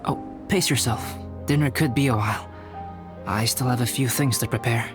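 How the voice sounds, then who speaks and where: A man plays a reserved young scholar-alchemist from a video game. He is calm, formal and measured, with a medium pitch and clear background music. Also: close to an everyday voice.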